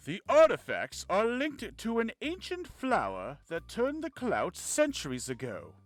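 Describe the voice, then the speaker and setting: A man's voice: sits high, huge pitch swings, unhurried, no room sound, exaggerated voice. A man voicing a polite, knowledgeable figure in a fantasy visual novel, formal and expository. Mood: explaining. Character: polite, knowledgeable man explaining ancient lore. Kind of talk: amateur visual novel voice acting.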